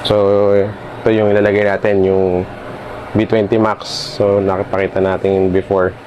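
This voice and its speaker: A man's voice: lowish voice, monotone, moderate pace, highs cut off, a little hiss or hum. A man with a Filipino accent narrating a casual product demo vlog, talking informally and directly to viewers. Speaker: vlogger presenting a product installation.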